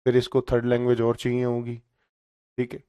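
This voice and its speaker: A man's voice: mid-range voice, monotone, brisk, clean tone, no room sound, highs cut off. Guy teaching exam prep, mid voice, dry close sound, keeps checking in with his students. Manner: explanatory, frequent "theek hai" checks.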